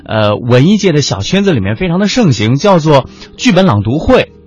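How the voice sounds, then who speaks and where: A man co-hosting a radio programme in conversational mainland standard Mandarin, measured, with small pauses. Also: a little high, big pitch swings, darkish tone, breathy edge. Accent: mainland Chinese standard Mandarin. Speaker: radio host. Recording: slightly dull audio with music underneath. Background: background music.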